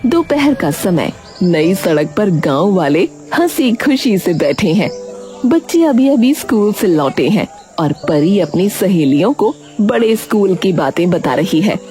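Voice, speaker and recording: A woman narrating an animated story in a dramatic, scripted storytelling style. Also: mid-range voice, unhurried, soft background music.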